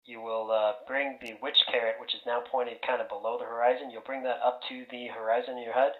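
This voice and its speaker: A man's voice: medium pitch, level tone, fast. A man giving an informal step-by-step procedural briefing as a flight leader over online voice chat. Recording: muffled, roomy voice chat.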